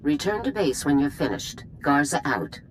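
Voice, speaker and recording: A woman's voice: low-pitched, sing-song, normal speed, slight background noise, rough recording. A woman voicing a stern, pragmatic middle-aged general in a video game, speaking in a clipped, authoritative way. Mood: firm, commanding.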